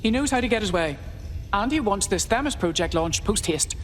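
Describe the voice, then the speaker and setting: A chatty, jittery young recruit to the resistance, voiced by an actress in a game cutscene. The pitch swings a lot, and there is clear street-like noise behind the voice. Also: female voice, lively intonation, fairly quick, close to an everyday voice. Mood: uneasy. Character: nervous, chatty rookie resistance operative. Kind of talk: video game cutscene acting. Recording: clear vehicle and ambience noise.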